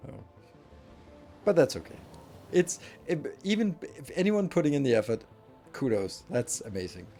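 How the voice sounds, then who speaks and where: A man's voice: on the high side, sing-song, moderate pace, mellow, faint music behind. A middle-aged man from Denmark with a Danish accent, chatting casually and self-deprecatingly with viewers during a game stream, rambling with restarts. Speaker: gaming livestream host. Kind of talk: casual chat with viewers while gaming.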